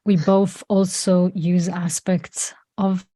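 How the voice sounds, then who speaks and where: Low-voiced Swiss-woman hosting a podcast. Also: little pitch movement, dark tone, no room sound. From German-speaking Switzerland. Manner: measured, hesitant, with frequent fillers. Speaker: podcast host. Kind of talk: casual podcast chat.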